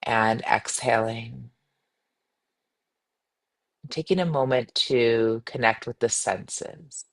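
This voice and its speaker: Female meditation guide, mid-to-low voice for a woman, soothing and unhurried, gentle instructions with spacious pauses. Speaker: meditation guide, yoga teacher.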